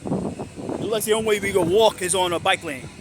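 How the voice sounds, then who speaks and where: A man's voice: high voice, a little fast, noisy background. A man filming a street walk, adding short agreeing asides from behind the camera outdoors. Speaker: videographer. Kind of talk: brief asides while filming.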